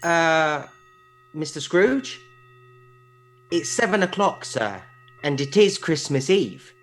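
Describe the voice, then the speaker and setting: A man plays a meek, deferential clerk in a radio drama. He speaks very slowly and hesitantly, with a lilting, lively melody and a timid, kindly politeness. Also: a little high, faint music behind. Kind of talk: radio play acting.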